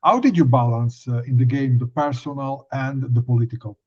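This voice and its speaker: A man with a mid-pitched voice reads out interview questions. His delivery is measured and a little halting, and the audio sounds dull and lo-fi. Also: expressive, a little mellow, slightly rough.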